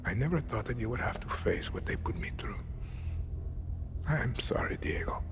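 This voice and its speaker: An actor playing a cold, ailing middle-aged dictator in a game, with a Latin American Spanish accent, measured and commanding, sometimes sinking to a breathy murmur. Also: male voice, medium pitch, expressive, in a whisper, close to an everyday voice. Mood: intimate, grave. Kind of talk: dramatic video game acting. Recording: loud music behind.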